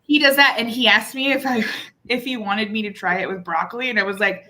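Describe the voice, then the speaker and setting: Bright-voiced woman in her thirties, chatting. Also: fairly high pitch, fairly even intonation, brisk, a little hiss or hum. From lives in North America near the Canadian border. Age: 30s. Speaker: co-host of a resellers' live chat show. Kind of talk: casual unscripted live group chat.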